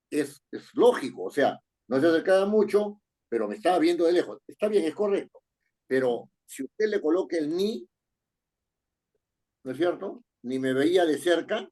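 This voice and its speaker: Husky guy teaching writing, reads the text out slow then explains each fix, lots of "right?". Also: a little high, darkish tone. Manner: reads text aloud slowly, then explains with rising questions like "¿no es cierto?". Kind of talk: correcting a student's paragraph in class.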